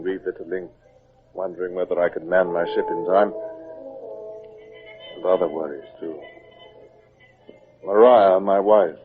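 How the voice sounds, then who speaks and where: An actor playing a stern but humane British naval captain in an old-time radio drama, with a commanding, authoritative manner. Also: male voice, low voice, slightly rough. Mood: brooding, reflective. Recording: muffled, narrow old radio recording.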